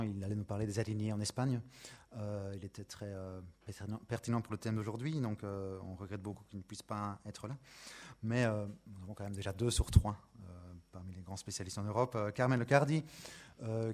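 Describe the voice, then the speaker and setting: Low-voiced man moderating a seminar. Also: a little mellow. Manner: hesitant, many fillers and restarts. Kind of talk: introducing speakers at an academic seminar.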